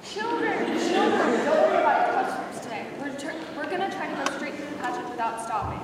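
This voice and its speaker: A woman's voice: sits high, expressive, fairly quick. A woman in an amateur readers theater skit playing a harried, determined pageant director. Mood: flustered, firm. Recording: echoey hall from far off, noisy.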